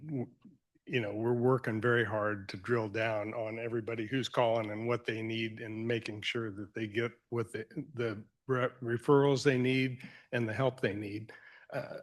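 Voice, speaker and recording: A man's voice: fairly low pitch, a bit bright. A man leading a local agency who answers questions at a county meeting. He speaks in a hesitant way, with fillers and restarts. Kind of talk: public meeting testimony. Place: county commission meeting room.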